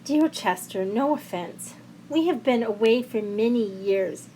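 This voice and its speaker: A woman's voice: fairly high pitch, animated, measured pace, dark tone, slight background noise, low-quality recording. A woman voicing a polite, dramatic woman diner in an audio story, courteous in manner. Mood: apologetic. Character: polite, apologetic woman returning after years away.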